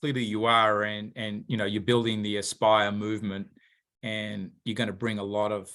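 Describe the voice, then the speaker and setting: A male podcast host asks his guest questions in a searching way, hesitating often and leaning on fillers as he goes. His voice sits somewhat low. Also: level tone, normal speed, fairly bright tone. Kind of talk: interview questions.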